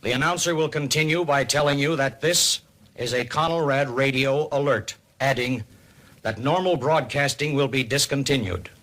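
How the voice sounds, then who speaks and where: A man with an American accent narrating a 1950s civil defense radio program in a formal, deliberate, authoritative announcer style. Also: mid-range voice, fairly bright tone, close to an everyday voice. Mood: grave, instructive.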